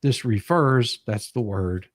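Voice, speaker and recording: A man's voice: medium pitch, lively intonation, slow pace, no echo. Man explaining things in a close, dry voice. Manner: explanatory, emphatic, sweary. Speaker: live stream host. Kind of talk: casual commentary on a legal document.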